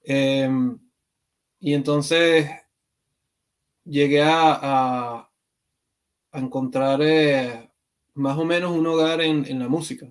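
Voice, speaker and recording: A man's voice: fairly high pitch, steady tone, slow, pauses often. A man in his thirties from Venezuela, a podcast guest who talks reflectively, with many fillers and restarts. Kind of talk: conversational interview.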